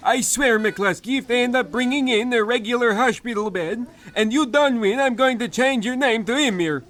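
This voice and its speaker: A man's voice: very high-pitched, normal speed, fairly bright tone. A man playing a brusque, pompous quack doctor who scolds in a comedic old-time radio play. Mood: furious, threatening. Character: brusque, irritable quack doctor, bossy and menacing.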